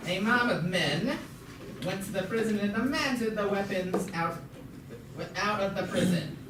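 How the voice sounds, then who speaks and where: A young student voicing a formal puppet museum guide in an amateur school show, lecturing haltingly and stumbling over words. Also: mid-range voice, close to an everyday voice. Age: teenager or young student. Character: puppet museum guide, formal, mock-learned, named Schnitzelbach. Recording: noisy, echoey room, far from mic.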